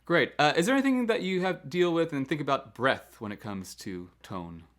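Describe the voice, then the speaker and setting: A man's voice: fairly high pitch, sing-song, normal speed, a bit bright. A man hosting an interview for music educators, asking long, rambling questions with an eager manner. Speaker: podcast host and music educator.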